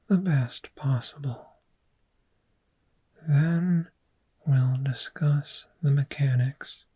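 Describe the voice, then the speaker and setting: Casting: woman to play a calm dragon therapist for ASMR roleplay; mellow, whispery, extremely slow and measured delivery, reassuring. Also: low-pitched, exaggerated voice. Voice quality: breathy, whispery. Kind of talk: soft-spoken ASMR roleplay.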